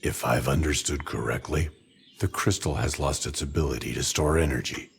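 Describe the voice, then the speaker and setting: An actor playing an earnest, resolute ancient non-human male being in a fantasy game, speaking formally in gravelly measured phrases. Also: very deep voice, a little hiss or hum. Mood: earnest, thoughtful. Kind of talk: fantasy game cutscene acting.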